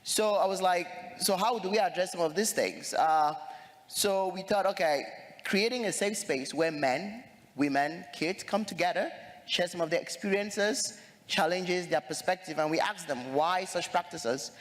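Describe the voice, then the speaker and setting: A man's voice: sits high, a little slow. A young man from Cameroon speaking earnestly as a conference panelist, with frequent fillers and restarts. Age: young adult. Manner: earnest, hesitant with many fillers. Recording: long reverb of a large hall.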